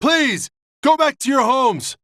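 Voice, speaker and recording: An actress playing a kindly but firm villager who keeps the neighbours in order in a video game. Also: female voice, high-pitched, animated, takes it slowly, fairly bright tone, no echo, studio quality, full character voice. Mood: firm, pleading. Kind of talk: video game character dialogue.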